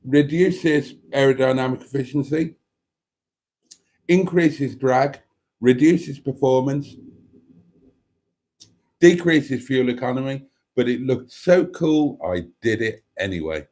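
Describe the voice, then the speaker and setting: A man with a stuffy, coughy cold talks in an easygoing, unhurried way. His deadpan humour runs through a relaxed live chat as he reads out viewer comments. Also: mid-range voice. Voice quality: slow, wry, deadpan delivery. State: snotty, coughing. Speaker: livestream host reading chat.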